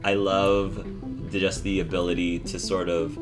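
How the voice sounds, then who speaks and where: Guy hosting a lets play, kinda low voice, laughs a lot, guitar music in the back. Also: level tone, unhurried. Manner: chatty, laughs easily, analytical. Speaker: let's play host. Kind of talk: casual group chat. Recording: guitar music playing underneath.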